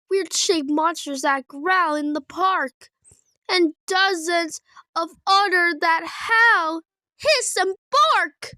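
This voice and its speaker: Lady doing a worried little boy frog for a kids book, high voice, earnest, really up and down. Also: bright, forceful tone, dry recording, full character voice. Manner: fretful, earnest. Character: young male baby frog, anxious and clingy.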